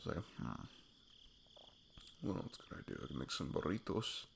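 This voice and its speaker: A man's voice: extremely low pitch, sing-song, brisk, creaky, slight background noise, pitched below the performer's own voice, exaggerated voice. A man playing a sweet, goofy best friend on a date in an ASMR roleplay, rambling playfully and poking fun at himself. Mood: playful, sheepish. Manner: rambling, playful, self-deprecating. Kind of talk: intimate ASMR roleplay, improvised casual chat.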